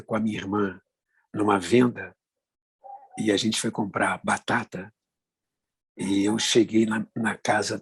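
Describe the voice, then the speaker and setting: A man, telling his life story as a guest in an informal, halting way. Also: on the low side, slightly rough, no echo, poor audio. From born in Portugal, moved to Brazil as a child. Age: 70s. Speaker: guest speaker.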